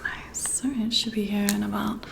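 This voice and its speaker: A woman playing a friendly, teasing boss working late, in a breathy, intimate, soft-spoken ASMR roleplay. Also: mid-range voice, level tone, slight background noise, low-quality recording. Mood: casual, friendly. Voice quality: breathy, whispery. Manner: slow, intimate, conversational. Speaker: ASMR performer.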